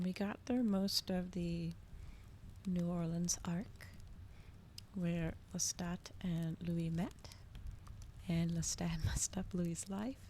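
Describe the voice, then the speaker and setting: A woman with a soft, gentle voice that often slips toward a whisper, chatting casually and a little hesitantly to viewers as an ASMR livestream host. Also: lowish voice. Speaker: streamer hosting a live reading. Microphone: regular microphone, not ASMR-specific. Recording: audible background noise, fireplace ambience. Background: crackling fireplace ambience.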